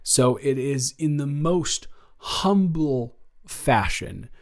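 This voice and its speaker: A man playing a nervous, earnest male best friend confessing a crush in a halting, rambling, improvised audio roleplay. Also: medium pitch, lively intonation. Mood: earnest, shy. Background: music.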